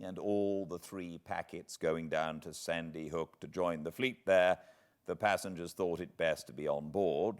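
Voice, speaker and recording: A man with a British accent reading a classic book aloud as an expressive audiobook narrator. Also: low-pitched. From Britain.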